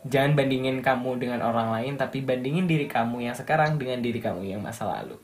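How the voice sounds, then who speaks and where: A man's voice: mid-range voice, fast, slight background noise. Young male radio announcer from Malang, light Javanese accent, upbeat and motivational. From Malang, East Java. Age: young adult. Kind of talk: casual interview chat.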